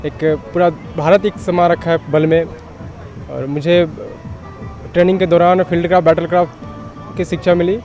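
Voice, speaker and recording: A man's voice: high-pitched, level tone, moderate pace, long pauses, darkish tone, soft background music. A man from Vaishali in Bihar, a recruit, speaking plainly about his training. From Vaishali, Bihar, India. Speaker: paramilitary recruit.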